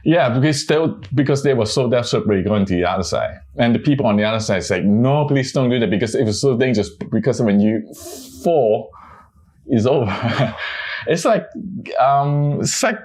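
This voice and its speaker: A middle-aged man from Hong Kong, a photojournalist speaking in an interview, halting and searching for his words with many restarts. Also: medium pitch, animated, darkish tone. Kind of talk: interview, personal reflection.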